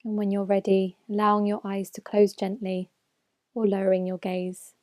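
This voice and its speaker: A woman guides a meditation in a mellow, relaxed voice. Her intonation stays very even and flat, and her phrases are gentle and measured. The sound is dry and close, with no room around it. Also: mid-range voice, dry recording. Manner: gentle, measured, unhurried instruction with soft phrase endings. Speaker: mindfulness meditation teacher. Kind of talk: slow guided meditation narration.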